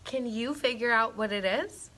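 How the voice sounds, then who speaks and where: A woman reading a storybook aloud to young children as a teacher would, expressively and deliberately. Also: on the high side, a bit bright, slight background noise.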